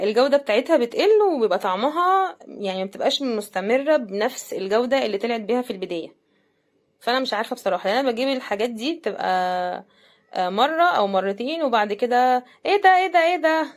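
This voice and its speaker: Higher-pitched Egyptian woman, chatty. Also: expressive, quick pace. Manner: chatty, conversational, thinking aloud. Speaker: unboxing video host. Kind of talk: casual running commentary while unboxing.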